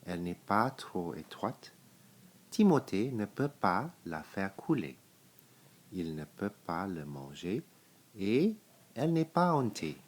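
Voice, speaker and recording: A man with a very deep voice reads a picture book to children. He takes it very slowly, phrase by phrase, leaving deliberate pauses. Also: very animated. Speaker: storybook reader.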